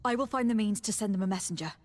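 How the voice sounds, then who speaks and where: A woman's voice: medium pitch, racing, a little mellow, natural voice. An actress playing a resolute, caring young noblewoman in a fantasy game, speaking earnestly and formally. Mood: resolute, urgent. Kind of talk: dramatic fantasy game acting.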